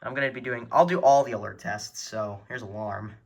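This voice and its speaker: A man's voice: medium pitch, fairly quick, creaky, darkish tone, husky. A man giving a casual, chatty show-and-tell narration at home as an enthusiastic hobbyist, filming with a handheld camera. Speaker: weather radio collector presenting his collection. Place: indoor room at home. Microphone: handheld camera, speaker off screen. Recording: faint noise, clicking of buttons.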